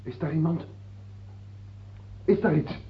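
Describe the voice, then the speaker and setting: A man playing a timid, deferential servant to a king in an old radio drama, with a husky, nervous voice. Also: fairly high pitch, slow, full character voice. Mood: startled. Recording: muffled, phone-like old radio recording.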